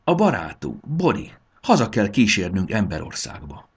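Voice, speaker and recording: Man playing an earnest young dragon, husky voice. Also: on the low side, wildly swooping pitch, full character voice. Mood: proud, earnest. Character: earnest young male dragon, loyal friend. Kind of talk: dramatized children's storybook reading.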